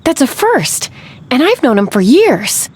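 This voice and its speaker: Casting: friendly woman for anime game, high voice, very animated intonation, faint noise behind. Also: measured pace. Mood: amazed, friendly. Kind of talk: anime video game voice acting.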